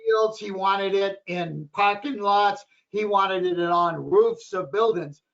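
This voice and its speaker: A hoarse man with a high-pitched voice talks at a town planning board meeting, rambling and repeating himself. The sound is dull and lo-fi, like local cable TV audio. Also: slow, a bit bright. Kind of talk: meeting discussion.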